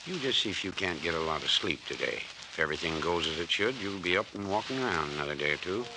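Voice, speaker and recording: An actor playing a folksy older small-town doctor, bossy and reassuring, with rural turns of phrase in old-time radio drama style. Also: male voice, low-pitched, lively intonation, fast talker. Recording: noisy vintage radio recording.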